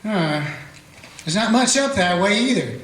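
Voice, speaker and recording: A man playing a small-town Old West sheriff in a radio play, also narrating in a plain, calm folksy way. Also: sits high, a little slow. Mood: steady. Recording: echoey room.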